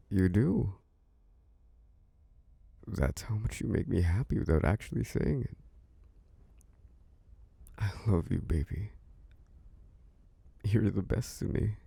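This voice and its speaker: A man playing a doting, protective boyfriend in an intimate ASMR sleep-aid roleplay, murmuring soft, coaxing reassurance and endearments. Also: low-pitched, creaky, slightly rough, dry recording, heavily acted. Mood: tender, drowsy.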